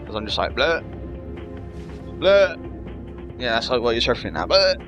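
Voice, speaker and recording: A man's voice: mid-range voice, very animated, unhurried, muffled recording. A man giving casual let's-play commentary as a gaming host, rambling and jokey with some swearing. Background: game music.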